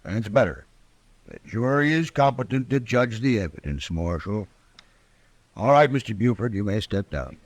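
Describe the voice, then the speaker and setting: A man's voice: fairly low pitch, lively intonation, normal speed, no echo. A man playing a brusque, officious frontier judge in an old-time radio western, curt and offhand in his questioning. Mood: smug. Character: brusque, biased frontier judge fond of liquor. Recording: vintage radio drama recording.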